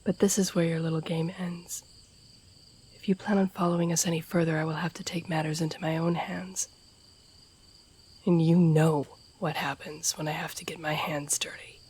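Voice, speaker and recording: Woman as low, stern masked assassin bodyguard. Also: flat delivery, moderate pace, a little mellow, husky. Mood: cold, threatening. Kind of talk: scripted fantasy audio roleplay acting. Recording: noisy outdoor night ambience with crickets. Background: crickets and night insects.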